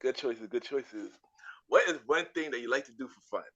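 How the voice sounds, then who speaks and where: A man hosting a podcast, talking in a relaxed, slangy way and often repeating and restarting phrases. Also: mid-range voice, moderate pace, lo-fi sound. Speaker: podcast host. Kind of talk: casual interview chat.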